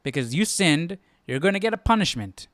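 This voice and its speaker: A man's voice: fairly high pitch, sing-song, brisk, a bit bright, no echo, clean studio sound. A man hosting a live Bible study, commenting casually and thinking aloud, often checking himself with a questioning tag. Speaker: host.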